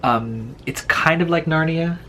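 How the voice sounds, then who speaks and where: A man chatting casually about books in a slangy, wry, halting way, often doubling back on his words. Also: fairly high pitch, sharp tone, slight background noise. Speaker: co-host of a book vlog. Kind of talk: casual book chat.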